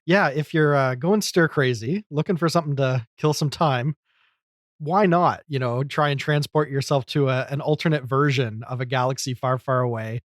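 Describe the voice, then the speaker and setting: A man's voice: medium pitch, moderate pace, no room sound, studio quality. A man co-hosting a podcast, talking casually and at length in a chatty, enthusiastic way. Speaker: podcast co-host.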